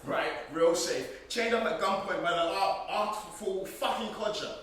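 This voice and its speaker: A man acting a young male prisoner in a stage play, bitter, sarcastic, defiant and quick to swear. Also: high voice, a bit bright. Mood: hostile. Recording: very echoey room, distant mic, hiss.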